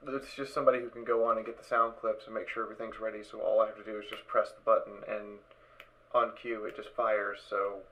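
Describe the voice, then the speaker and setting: A man's voice: on the low side, level tone, fast, sharp tone. A man hosting an online dramatic reading, chatting casually and somewhat hesitantly as he makes rambling announcements to the group. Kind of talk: casual livestream chat after a show. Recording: muffled, noisy online voice-chat stream.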